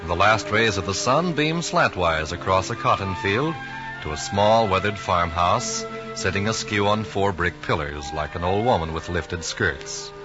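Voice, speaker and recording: Man, 1940s radio announcer, formal scripted narration, very lively intonation, music behind, dull old recording. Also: low voice, a little slow. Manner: formal, storytelling.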